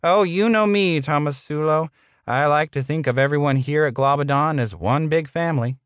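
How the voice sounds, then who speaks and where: A man's voice: fairly high pitch, normal speed, dry recording, studio quality, pitched above the performer's own voice, close to an everyday voice. A man voicing a short, sleazy middle-aged office boss in an audiobook, smug, patronizing and blustering.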